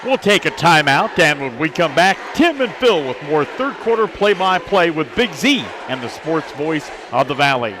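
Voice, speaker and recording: A man's voice: fairly high pitch, big pitch swings, moderate pace. A man working as a sports radio host, announcing the breaks in a live broadcast. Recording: loud gym crowd and noise. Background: gymnasium crowd, bouncing basketball.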